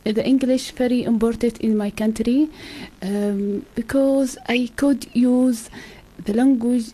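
A young woman in her twenties from Saudi Arabia, speaking English as a second language with an Arabic accent as a radio guest, halting and searching for words. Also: a little high, steady tone, dark tone, low-quality recording. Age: 20s. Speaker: guest, exchange student. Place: radio studio.

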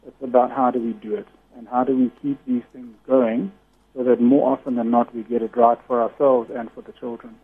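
Radio guest on the phone: a loud-voiced man, very husky, earnest and explanatory, tinny line. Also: medium pitch, little pitch movement, brisk. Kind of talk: radio interview over the phone.

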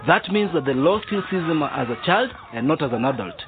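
A man's voice: on the high side, expressive, fairly quick, natural voice. A man playing a genial but firm police sergeant and father, teaching boys in a radio drama, chuckling and hesitating. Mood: genial, instructive. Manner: chuckling, didactic, hesitant fillers. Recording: noisy, tinny phone-like radio drama recording.

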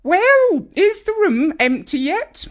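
A man's voice: extremely high pitch, sing-song, slow pace, pitched above the performer's own voice, full character voice. A man voicing a haughty, snappish elderly English grandmother in a children's storybook, scolding with indignant emphasis. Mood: imperious.

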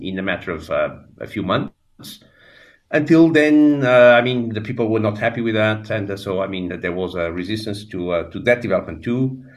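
A Portuguese-accented man in his sixties with a very low voice. He talks as a radio guest, halting and thoughtful, and fills his pauses with ums and restarts. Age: 60s. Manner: halting, many fillers and restarts. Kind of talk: radio interview, reflective storytelling.

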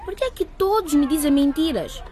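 High-voiced, resolute schoolgirl. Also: animated, fairly quick, dark tone, a faint crowd. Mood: upset. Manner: firm, emotional. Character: resolute teenage schoolgirl, upset and accusing. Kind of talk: radio drama acting.

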